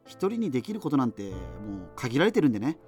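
Actor as a rambling, animated geeky radio host. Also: male voice, mid-range voice, quick pace, faint music behind, close to an everyday voice. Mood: resigned, musing. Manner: hesitant, digressive, self-interrupting. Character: rambling, geeky male radio host facing doomsday in 2039. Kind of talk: radio drama monologue, conversational.

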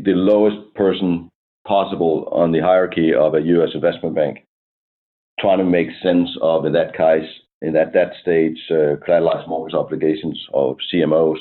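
A man from Denmark, a company executive answering interview questions in a measured, hesitant way with many ums. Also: deep voice. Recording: tinny phone-like line.

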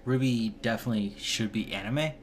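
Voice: medium pitch, fairly quick. Blunt profane podcast co-host. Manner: blunt, profane, dry jokes. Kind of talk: casual podcast chat. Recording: faint background noise.

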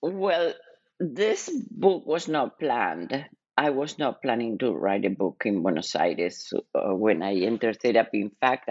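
An older woman with an Argentine Spanish accent, answering interview questions as a guest in a measured, reflective way. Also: very deep voice, slightly rough, no room sound, dull audio. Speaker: guest author, psychologist.

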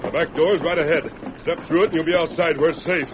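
An actor playing a calm, commanding masked lawman, in a 1940s radio drama. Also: on the high side, quick pace, noisy background, lightly acted.

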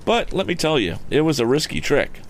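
A man voicing a bold, cool-headed teenage boy inventor who talks in a crisp, commanding way in a storybook reading. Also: on the high side, big pitch swings, fairly quick, slight background noise, pitched above the performer's own voice. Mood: stern. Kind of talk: audiobook character voice.

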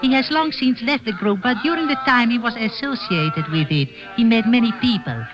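A man's voice: very high voice, lively intonation, moderate pace, over music. A man playing an anxious, secretive Middle Eastern bazaar merchant in an old-time radio drama, speaking formally and deferentially. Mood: guarded, earnest. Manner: formal, deferential, foreign phrasing. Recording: vintage radio broadcast, background noise.

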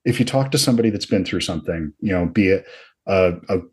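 An American man hosting a podcast, talking casually and reflectively with a slightly husky voice. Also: sits low, lively intonation, quick pace, a little mellow. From Georgia and New Jersey, United States. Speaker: podcast host.

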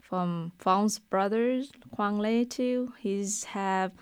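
A woman from Vietnam, a restaurant owner, speaking English with a Vietnamese accent in a careful, halting way on a radio interview. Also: mid-range voice, clean tone, no room sound, clean studio sound. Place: radio studio.

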